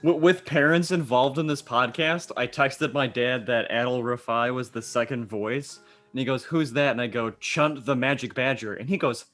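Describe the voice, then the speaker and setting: A man's voice: mid-range voice, moderate pace, fairly bright tone, faint music behind. A man in casual podcast chat with friends, joking in a dry, mock-serious way. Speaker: podcast cast member.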